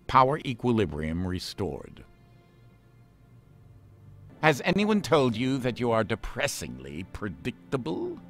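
A man playing a pompous, sarcastic spaceship AI that answers commands in a deadpan, condescending way. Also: medium pitch, a little slow, long pauses, soft background music, exaggerated voice. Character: haughty male ship computer AI, sarcastic and condescending. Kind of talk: scripted voice-assistant responses.

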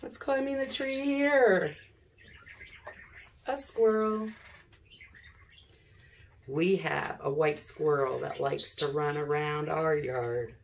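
A middle-aged woman reads aloud to young children as their teacher. Her voice is low and gravelly, and she goes very slowly and deliberately, sounding out words and posing simple questions. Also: slight background noise. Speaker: elementary school teacher. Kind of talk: read-aloud reading lesson for young children. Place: living room at home, seated in an armchair.

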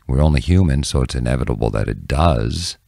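Very deep, gravelly older man. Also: expressive, mellow, no echo, clean studio sound. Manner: halting, self-interrupting, candid. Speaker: news anchor and reporter, podcast guest. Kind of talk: casual reflective interview answers. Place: small dim indoor podcast room. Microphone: close studio microphone on a boom.

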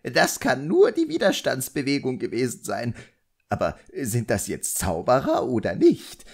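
A man's voice: mid-range voice, wildly swooping pitch, a little slow, vocal fry, darkish tone, slightly rough, pitched above the performer's own voice, full character voice. A man voicing an indignant, demanding sorcerer in an audiobook, with a scolding manner. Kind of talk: audiobook character acting.

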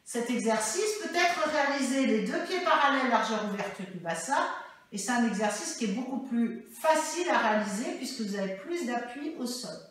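High bright-voiced woman instructor in an echoey room. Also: normal speed, far from the mic. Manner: calm, instructive, with frequent "hein". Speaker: fitness instructor. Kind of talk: guided balance exercise class. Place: bare living room with hard floor, indoors. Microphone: far camera.

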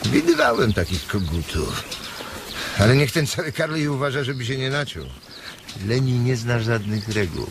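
Bossy, wary migrant worker, lively intonation, noisy ambience, radio drama. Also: male voice, mid-range voice, moderate pace, gravelly. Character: sharp, irritable, protective male migrant worker. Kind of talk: Polish radio drama acting. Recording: noisy, birdsong ambience behind.

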